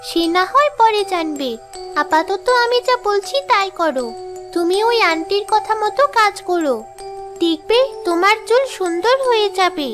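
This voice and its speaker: A mysterious little snake girl in a cartoon folk tale. Her voice is extremely high, knowing and kind, with clear music behind it. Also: lively intonation, moderate pace. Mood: knowing, reassuring. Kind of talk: exaggerated cartoon dialogue.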